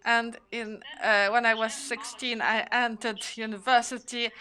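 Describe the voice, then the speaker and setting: A woman in her thirties whose first language is Romanian speaks at a press conference. Her delivery is slow and halting, full of pauses and fillers, and her voice is bright and forward with a slightly higher pitch. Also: flat delivery. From Ukraine. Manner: halting, many hesitations. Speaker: panel speaker.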